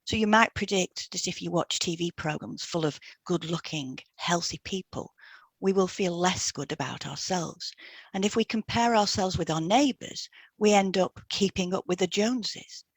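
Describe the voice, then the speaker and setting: A woman's voice: fairly low pitch, normal speed, dry recording. A woman co-hosting an educational podcast, reading from a prepared script. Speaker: podcast co-host, hypnotherapist.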